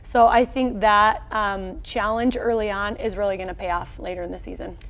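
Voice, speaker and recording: An American woman in her thirties with a medium-pitched voice. She speaks as a coach being interviewed, in an upbeat, colloquial and straightforward way. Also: fairly even intonation, normal speed, fairly bright tone. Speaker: volleyball head coach, interview guest. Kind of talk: conversational sports interview. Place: small indoor locker-room set.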